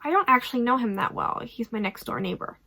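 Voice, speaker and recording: A woman's voice: fairly high pitch, lively intonation, fast, sharp tone. A woman voicing a polite, kindly female judge who is fond of gardening.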